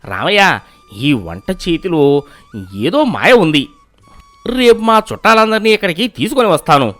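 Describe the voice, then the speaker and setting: A man voicing a cheerful village customer in an animated storybook, hearty and full of praise. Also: high voice, a little slow, exaggerated voice. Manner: hearty, admiring.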